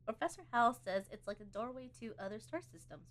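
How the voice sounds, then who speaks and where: A woman streaming a game live, chatty and expressive, reading the on-screen story aloud and tossing in playful asides. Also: on the high side, fairly quick. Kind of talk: live let's-play commentary, reading game text aloud.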